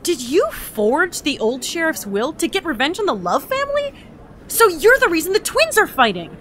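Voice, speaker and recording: Audio drama heroine woman actor: frustrated otherworldly agent, animated, excitable, sardonic, stammering. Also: extremely high pitch, moderate pace, a little hiss or hum, full character voice. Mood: accusing. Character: exasperated young female agent from another reality.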